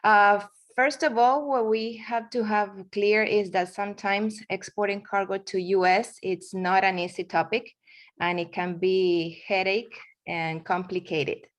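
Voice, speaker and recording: A woman's voice: mid-range voice, steady tone, a little slow. A woman in her forties from Argentina, speaking English with an Argentine accent, giving a presentation with frequent fillers and restarts. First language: Spanish. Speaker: guest speaker. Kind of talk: webinar presentation.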